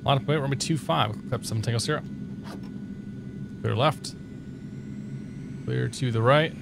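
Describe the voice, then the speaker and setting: A man's voice: medium pitch, normal speed, creaky. Slightly tipsy male streamer, loose chatty delivery over audible background noise. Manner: chatty, rambling, reads his own radio calls. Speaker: flight sim streamer. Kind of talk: casual gameplay commentary.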